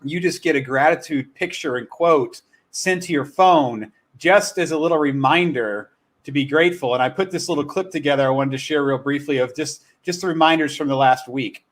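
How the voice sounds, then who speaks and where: A man with a fairly high-pitched voice hosts in a casual, chatty style. He rambles and restarts his sentences, often circling around a point before landing it. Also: normal speed. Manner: rambling, self-correcting, frequent "you know" and "like". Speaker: host. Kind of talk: casual livestream chat.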